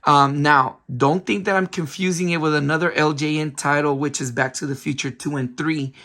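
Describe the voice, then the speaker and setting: Hoarse, chatty man reviewing games. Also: a little high, steady tone, moderate pace, fairly bright tone. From San Francisco Bay Area. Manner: chatty, many ums, restarts himself. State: hoarse, recovering voice after a cold. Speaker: retro game collector channel host. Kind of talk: casual video game review.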